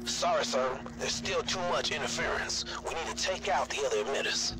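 A man's voice: on the high side, a little fast, close to an everyday voice, poor audio. A man playing a dutiful special forces operative in a video game, reporting to his commander matter-of-factly. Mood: tense, dutiful. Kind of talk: video game cutscene acting. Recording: loud game music behind.

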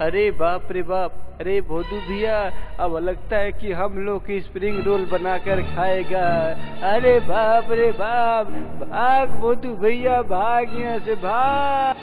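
A man voicing a greedy, squabbling boy in a Hindi cartoon, excitable and full of nagging exclamations. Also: high voice, takes it slowly, rough recording. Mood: alarmed. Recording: music behind the voice.

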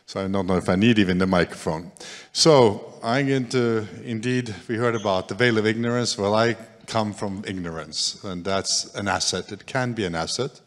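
A man in his sixties giving a loud, casual, self-deprecating lecture into a handheld mic in a large hall. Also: lowish voice, moderate pace. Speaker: speaker. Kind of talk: public lecture. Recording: long reverb of a large hall.

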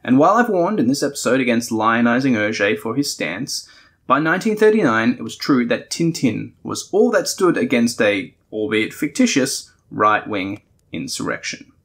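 A man's voice: mid-range voice, big pitch swings, normal speed, a bit bright. A man hosting a podcast, giving a conversational, partly scripted review in a thoughtful, explanatory way. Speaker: podcast host.

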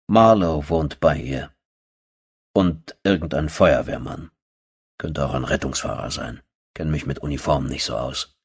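A man narrating a first-person horror audiobook in a brooding, terse, dry manner with a husky voice. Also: a bass voice, unhurried.

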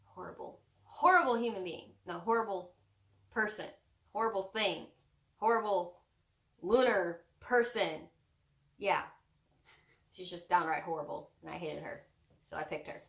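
A woman's voice: lowish voice, unhurried, gravelly, long pauses, fairly bright tone. A young woman chatting casually about books as a co-host of a home video. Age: young adult. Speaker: book vlogger co-host. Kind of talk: casual chat about books, reading out tag prompts. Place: small room at home with bookshelves. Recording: roomy echo from camera distance.

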